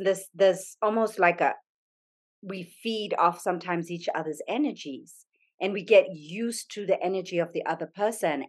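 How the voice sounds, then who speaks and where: A middle-aged woman from Zambia speaks in a fairly low voice. She is a podcast guest who talks earnestly and thoughtfully, often restarting her sentences as she works through a hard subject. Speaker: podcast guest, yoga studio owner. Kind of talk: reflective conversational interview.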